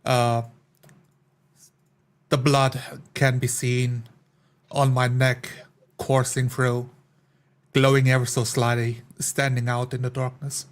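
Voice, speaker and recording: A man narrates his own character in a tabletop roleplay. His delivery is halting and very slow, with a fairly even, mid-pitched tone. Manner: halting, deliberate. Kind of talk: first-person character narration in a tabletop game.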